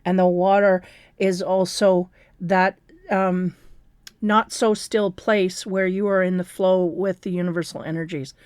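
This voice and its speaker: A woman with a raspy, hoarse voice giving a casual tarot card reading, rambling and restarting her sentences with many fillers. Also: on the low side, fairly even intonation, unhurried, darkish tone, no echo, clean studio sound.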